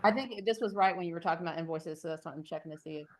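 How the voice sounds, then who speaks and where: Lower-pitched woman moderating questions. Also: racing, slight background noise. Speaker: moderator reading out audience questions. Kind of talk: informal online meeting moderation.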